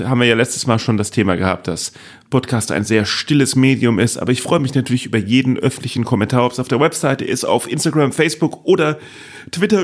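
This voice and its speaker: A man hosting a comedy podcast in casual chat, playful, repeating words for comic effect. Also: mid-range voice. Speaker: podcast host.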